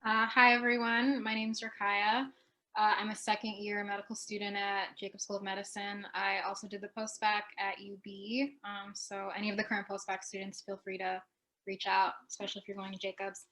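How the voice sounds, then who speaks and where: Flat-toned young woman student on a call. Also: medium pitch, a little fast. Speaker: medical student. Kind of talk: self-introduction on video call.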